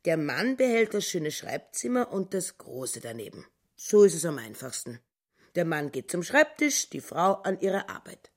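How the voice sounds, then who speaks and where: Nagging, swooping housewife. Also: female voice, fairly low pitch, very animated, normal speed. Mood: bossy. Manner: indignant, insistent, repetitive. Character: nagging, petty, self-righteous housekeeper turned wife. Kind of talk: radio drama acting.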